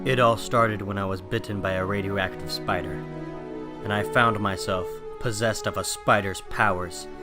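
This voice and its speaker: A man's voice: fairly low pitch, steady tone, moderate pace, over music, natural voice. A man playing an earnest, anguished teenage superhero in a melodramatic comic-book reading. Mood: somber, narrating. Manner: emphatic, theatrical, self-questioning.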